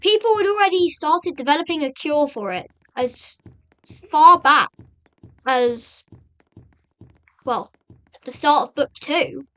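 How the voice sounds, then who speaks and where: High, slow-talking woman telling a story. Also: expressive, bright, forceful tone. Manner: halting, suspenseful, with repeated phrases and rhetorical questions. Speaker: video narrator and host. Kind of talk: dramatic story recap, loosely scripted.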